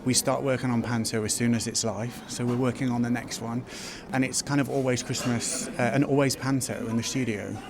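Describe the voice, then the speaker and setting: A man's voice: mid-range voice, steady tone, a little fast, creaky, crowd noise. A man who designs costumes, talking enthusiastically in an interview and laughing easily. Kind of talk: casual interview.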